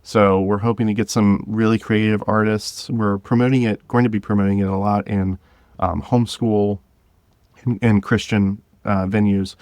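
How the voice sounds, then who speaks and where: A man's voice: fairly low pitch, level tone, normal speed, a little mellow, dry recording. A man speaking as a podcast guest in a casual interview, with an earnest, encouraging manner. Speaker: podcast guest, audio drama creator.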